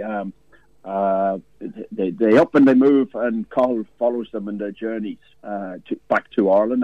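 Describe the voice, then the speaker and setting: A man who organizes a film festival, talking haltingly in a radio interview with many pauses and restarts. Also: medium pitch, no echo. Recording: dry, tinny phone line.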